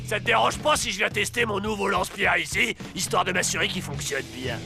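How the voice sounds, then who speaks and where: A man's voice: high-pitched, moderate pace, gravelly. A man voicing a mean bully kid with a slingshot, taunting and mocking. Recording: cartoon soundtrack with loud music.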